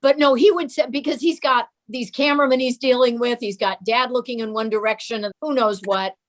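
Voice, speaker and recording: Higher-voiced American woman telling anecdotes. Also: fairly even intonation, brisk. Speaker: guest, daughter of a TV performer. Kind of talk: casual anecdotal reminiscence.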